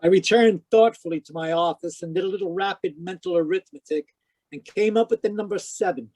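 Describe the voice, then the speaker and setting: A man's voice: high voice, brisk, darkish tone, faint music behind. A man playing a sardonic, wisecracking private detective, narrating an old-time radio mystery in a wry scripted style.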